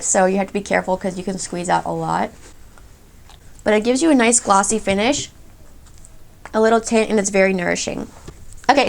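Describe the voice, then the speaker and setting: A chatty woman in her thirties reviews makeup as she applies it, gushing over each product. Her voice sits a little high and breaks into a gravelly fry, and she sounds slightly congested, getting over a cold. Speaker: beauty vlogger. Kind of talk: makeup tutorial.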